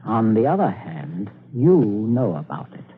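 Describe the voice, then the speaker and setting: An actor playing a ruthless middle-aged father who calmly threatens a man at gunpoint, in 1940s radio drama style. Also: fairly low pitch, unhurried, slight background noise. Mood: menacing calm. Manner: calm, clipped, coldly threatening. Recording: tinny old radio broadcast.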